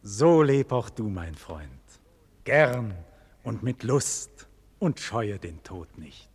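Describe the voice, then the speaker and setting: An actor playing a dignified condemned nobleman in a 1940s German radio play, declaiming solemnly with breathy, husky murmurs and loud cries. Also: on the low side, big pitch swings, takes it slowly, full character voice. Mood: solemn, consoling. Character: doomed nobleman facing execution, dignified, passionate, grave. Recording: vintage 1940s radio studio recording.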